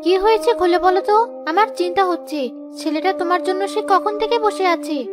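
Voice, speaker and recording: An anxious young village wife and mother in a cartoon tale, played by a woman. Her voice is extremely high and pleading. Music is clearly heard behind her. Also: moderate pace. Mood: concerned. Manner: fretful, pleading. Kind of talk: Bengali cartoon moral-tale acting.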